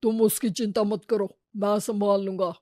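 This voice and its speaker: A woman's voice: mid-range voice, little pitch movement, moderate pace, a little mellow, no echo, clean studio sound, natural voice. A woman voicing an earnest, lovestruck younger brother in a family story, in a subdued manner. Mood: reassuring. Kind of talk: Hindi family moral story dramatization.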